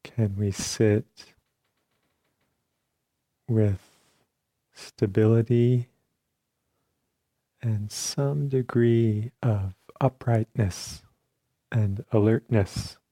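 A man teaching meditation, giving calm, unhurried guided instructions in short phrases with long pauses between them. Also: on the low side, dry recording.

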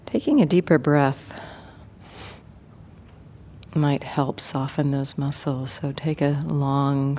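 A woman meditation teacher leading a guided meditation gently and without hurry, leaving many pauses. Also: rumbling low, a little hiss or hum.

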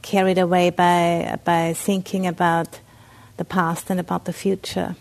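A woman from Austria with an Austrian German accent, a Buddhist nun giving a dharma talk at a meditation center, speaking haltingly with many fillers and restarts. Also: on the low side, flat delivery, rough recording.

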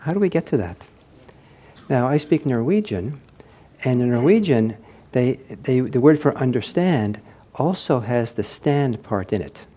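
A man giving an informal meditation talk, reflective and hesitant as he searches for words, with a soft, husky voice. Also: mid-range voice, lively intonation, measured pace. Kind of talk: informal spoken teaching with anecdotes.

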